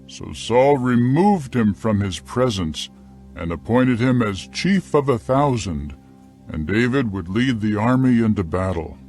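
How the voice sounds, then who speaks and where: A man narrating a dramatized scripture account in a measured, deliberate storytelling style. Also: mid-range voice, lively intonation, darkish tone, soft background music.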